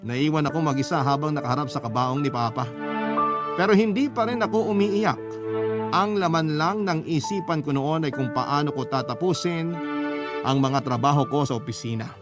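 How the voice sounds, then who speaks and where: A Filipino man with a slightly high voice narrates a heartfelt letter in the first person at a measured, steady pace. Music plays clearly beneath his reading. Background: clear music underneath.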